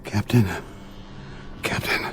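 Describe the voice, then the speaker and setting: An actor playing a weak, exhausted crewman in a vintage TV drama, gasping out halting fragments. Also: lightly acted. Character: weak, dying young male crewman begging for water. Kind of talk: mid-century television drama acting. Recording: noisy vintage soundtrack with ambience.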